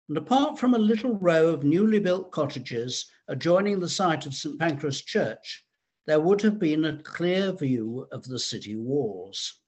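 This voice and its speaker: Husky, higher-pitched man narrating history. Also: darkish tone.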